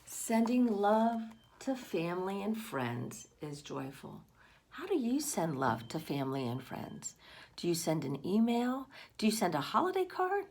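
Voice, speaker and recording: A woman's voice: medium pitch, big pitch swings, a little slow, a little mellow, slight background noise. A woman reading a picture book aloud to children, expressively, with asides and questions addressed to the young listeners. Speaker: read-aloud storyteller for children.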